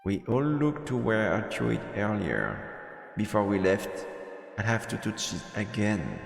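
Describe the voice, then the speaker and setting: A man with a lowish voice reads game text aloud as a streamer, in a dramatic narrating style that halts now and then. His voice rings with echo and long reverb, and game music plays behind him. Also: sing-song, measured pace, vocal fry. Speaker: streamer reading a visual novel aloud. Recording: reverberant, echoey room sound.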